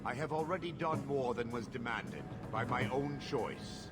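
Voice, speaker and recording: A man's voice: medium pitch, expressive, moderate pace, over loud music, natural voice. Man acting a solemn horned warrior guard. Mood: stern warning. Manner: solemn, warning. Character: proud, stern horned male warrior guard. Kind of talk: video game acting.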